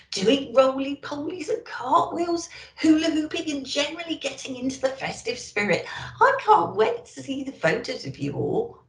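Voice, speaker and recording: Slow, expressive woman storyteller, echoey room. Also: fairly high pitch, vocal fry. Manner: expressive, deliberate. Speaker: storyteller in character as a Christmas elf. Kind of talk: children's storybook reading and festive address.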